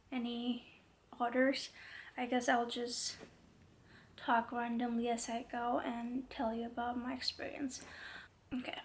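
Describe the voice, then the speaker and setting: A woman's voice: fairly high pitch, creaky. A young woman vlogging casually to camera with a stuffy, congested voice, chatty and rambling with many fillers. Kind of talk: casual talking-to-camera vlog.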